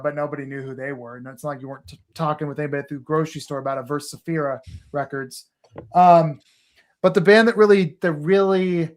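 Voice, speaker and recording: A man from Texas, a podcast guest talking casually and earnestly, rambling with fillers and restarts. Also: a little high.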